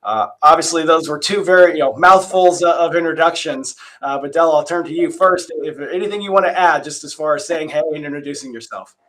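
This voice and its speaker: A man's voice: fairly high pitch, fairly quick, hardly any pauses, a bit bright, rough recording. A man moderating a design panel, reading guest introductions and then chatting casually with many fillers and restarts.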